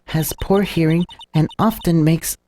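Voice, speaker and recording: Woman playing a confident, low-voiced defense mentor. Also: fairly even intonation, unhurried, dark tone, slight background noise. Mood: assured. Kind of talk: video game voice-over, read live.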